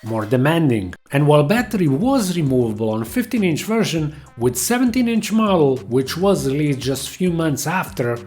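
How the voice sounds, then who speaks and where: A man's voice: a little high, very animated, moderate pace, hardly any pauses, a little mellow, soft background music. A man hosting a tech channel, narrating a scripted history of laptops in English with a non-native accent.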